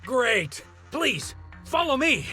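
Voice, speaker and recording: A man's voice: very high voice, sing-song, slow, sharp tone, faint music behind, heavily acted. A man playing a flustered, panicky neighborhood resident pleading for help in a video game, with breathless, stammering urgency. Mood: panicked, pleading. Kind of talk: video game character acting.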